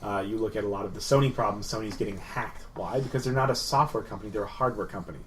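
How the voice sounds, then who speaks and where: A man's voice: lowish voice, racing. A man hosting a casual podcast discussion, explaining with rhetorical questions. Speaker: podcast host. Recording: slightly distant mic, faint noise.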